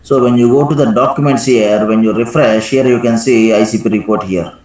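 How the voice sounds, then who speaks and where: An echoey recording of a man with a medium-pitched voice leading a live software lesson. He gives step-by-step instructions in a teacherly way and often stops to check that listeners follow. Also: a little fast. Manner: instructive, frequently checks with "right?". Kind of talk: live screen-share software tutorial with questions to students. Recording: echoey room sound.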